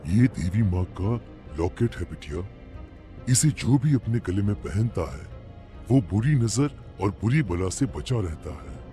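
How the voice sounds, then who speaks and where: A man voicing a kindly old temple priest in a cartoon story, speaking gently and fatherly. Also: on the low side, lively intonation, measured pace, soft background music. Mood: gentle.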